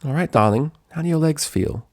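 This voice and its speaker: A man's voice: fairly high pitch, lively intonation, fairly quick, a little mellow, no room sound, studio quality, pitched above the performer's own voice. A man voicing a refined, fussy female pony with a genteel manner. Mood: caring.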